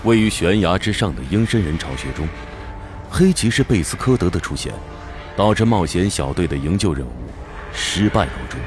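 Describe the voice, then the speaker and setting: Deep, solemn epic storyteller. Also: male voice, expressive, moderate pace, over music. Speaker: announcer and narrator. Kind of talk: scripted dramatic narration for a fantasy audio drama.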